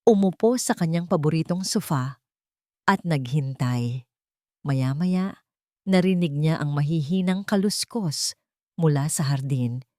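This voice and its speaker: A woman's voice: low-pitched, animated, a little slow, pauses often, a little mellow, no echo, clean studio sound. A woman reading a scripted dramatic story as its narrator.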